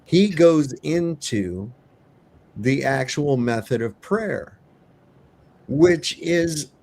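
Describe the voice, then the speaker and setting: A man's voice: mid-range voice, a little mellow. A man hosting a casual panel discussion over a video call, talking in a conversational, emphatic way. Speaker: panel host.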